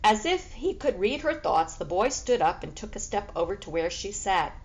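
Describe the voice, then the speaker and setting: A woman reading a children's book aloud as expressive storybook narration. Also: mid-range voice, normal speed, rough recording.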